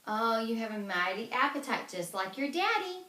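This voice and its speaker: Woman doing a high, coaxing wise wife. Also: very animated, moderate pace, pushed and bright, echoey room, far from the mic. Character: wise, brisk Irish wife of a giant.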